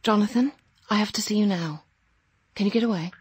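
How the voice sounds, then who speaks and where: An actress playing a brisk, commanding woman in a radio drama, insistent and direct. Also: mid-range voice, a little mellow, slightly rough, dry recording. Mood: urgent. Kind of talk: British radio drama acting.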